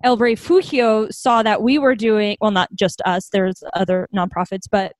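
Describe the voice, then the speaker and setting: A woman's voice: mid-range voice, steady tone, normal speed, dry recording. A young adult woman, a guest, telling stories in a casual interview, laughing often as she talks. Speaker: founder of a hospitality home, guest.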